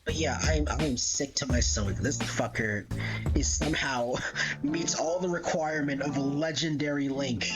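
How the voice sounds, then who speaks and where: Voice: medium pitch, normal speed, over music. A live streamer chatting casually in a rambling, giggly way and swearing freely. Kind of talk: casual livestream chat.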